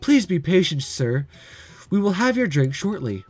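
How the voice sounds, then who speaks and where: A man voicing a calm, cool-headed young woman who works in a café, speaking softly and evenly. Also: high-pitched, moderate pace, soft background music. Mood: composed.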